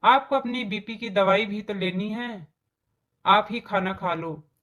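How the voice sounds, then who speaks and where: A woman voicing a kind, dutiful young daughter-in-law in a storybook tale, gentle and respectful. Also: mid-range voice, measured pace, lightly acted. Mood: caring.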